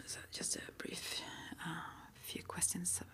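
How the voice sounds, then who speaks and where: A woman playing a calm, gentle witch school interviewer in an ASMR roleplay, whispering softly and reassuringly without hurry. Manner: soft, unhurried, reassuring, with murmured acknowledgements.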